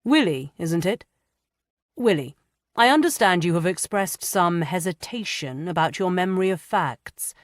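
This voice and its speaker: A woman reading a composed young lord from a period novel, dry and measured. Her tone stays low and even, with a close, dry sound. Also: dry recording.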